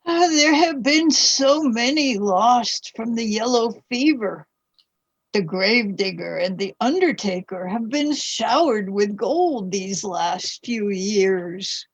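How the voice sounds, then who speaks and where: Woman acting wistful old-time mother, high voice, formal period radio drama, unhurried, slightly dull recording. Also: animated, no room sound, exaggerated voice. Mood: wistful, fond. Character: moon-bewitched old-time mother, longing, fond and wistful.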